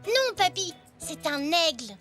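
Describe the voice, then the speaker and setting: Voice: very high-pitched, sing-song, measured pace, soft background music, exaggerated voice. An actor voicing a curious, eager five-year-old boy zebra in a French children's cartoon. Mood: earnest. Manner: bright-eyed, eager, polite.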